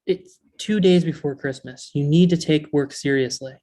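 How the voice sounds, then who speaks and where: An actor playing an exasperated, earnest, jealous male elf in a comedy table read, indignant and halting. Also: mid-range voice, warm tone, close to an everyday voice. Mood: hurt, fed up.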